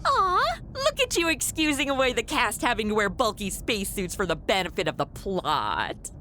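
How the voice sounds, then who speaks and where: An actress playing a sassy, flippant young influencer in a radio comedy, sarcastic and full of modern slang. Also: female voice, on the high side, sing-song, normal speed, very noisy. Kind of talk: comedic radio-play acting.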